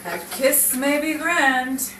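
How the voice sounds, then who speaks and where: A woman plays a level-headed, teasing girlfriend in a vintage radio drama. Her voice is high yet dry and wry. It comes from off the mic, with clear noise around it. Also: takes it slowly. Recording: echoey distant mic, noisy with crowd.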